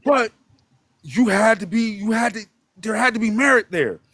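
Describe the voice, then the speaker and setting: A man's voice: high-pitched, animated, moderate pace, bright, forceful tone, no echo, clean studio sound. A man, a guest on a live stream, talking bluntly and emphatically, swearing and tagging his points with "right?". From Detroit, United States. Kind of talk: casual live-stream conversation.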